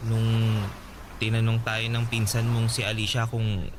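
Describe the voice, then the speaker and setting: A man's voice: on the low side, completely flat delivery, measured pace, background noise, close to an everyday voice. An actor playing an earnest, smitten young man in a radio drama. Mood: hesitant, earnest. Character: smitten young fairground suitor, earnest and friendly. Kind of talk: Filipino radio drama acting.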